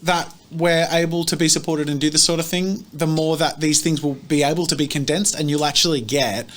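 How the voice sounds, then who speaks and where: Higher-voiced man hosting a casual chat. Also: steady tone, fairly quick, a bit bright. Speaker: show host. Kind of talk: casual chat, wrapping up a session.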